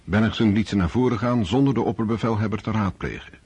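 An actor narrating an epic war story for a radio drama, in a solemn, scripted style with a husky voice. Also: male voice, on the low side, quick pace, darkish tone, no room sound. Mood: measured, solemn.

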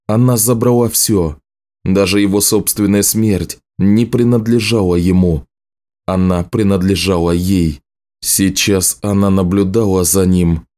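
Guy reading an audiobook, deepish mellow voice, serious measured storytelling. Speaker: audiobook narrator. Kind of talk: scripted literary narration.

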